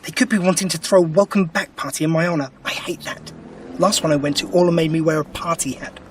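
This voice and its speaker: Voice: medium pitch, fairly even intonation, fast talker, far from the mic, a little hiss or hum, close to an everyday voice. An actor playing a quirky, wry time-travelling alien adventurer in an audio drama, chatty and given to grumbling.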